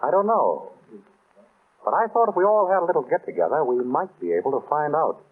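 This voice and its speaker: An actor playing a suave, wry detective who politely takes command of a room in an old-style radio drama. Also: male voice, a little high, very animated, brisk, breathy edge. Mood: wry, explanatory. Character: suave, wry male detective hosting a dinner reveal. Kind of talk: 1930s radio drama acting. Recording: tinny, band-limited old radio broadcast.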